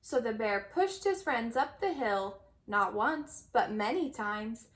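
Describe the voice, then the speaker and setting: Higher-voiced woman reading a children's story. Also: slow. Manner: expressive storytelling. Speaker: storybook reader. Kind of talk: scripted children's story read-aloud.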